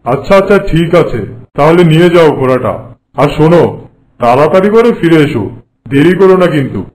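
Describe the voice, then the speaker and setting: A man playing a boastful, haughty king in an animated folk tale, speaking in a pompous, commanding way. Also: fairly high pitch, a little fast, close to an everyday voice, rough recording. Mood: imperious. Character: boastful, haughty king who prides himself on trickery.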